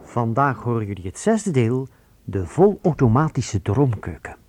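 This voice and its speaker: A man announcing a children's radio play in a scripted, measured introduction. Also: medium pitch, big pitch swings, a little mellow. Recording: noisy recording with buzzing sounds.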